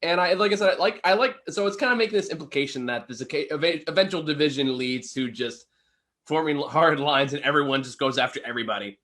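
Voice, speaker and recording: A man's voice: on the high side, quick pace, sharp tone. A man casually discussing a film with a co-host as a reviewer, opinionated and swearing freely.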